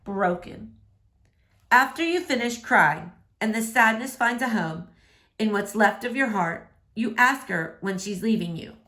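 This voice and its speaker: A woman reading a verse novel aloud as an expressive storyteller. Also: mid-range voice, measured pace. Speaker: book reader.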